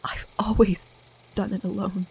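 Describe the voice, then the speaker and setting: A woman playing a frightened, protective young seer with a breathy, often whispering voice in an audio drama. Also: medium pitch, level tone, a little slow, darkish tone, exaggerated voice. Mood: tender, resolute. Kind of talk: intense audio drama dialogue.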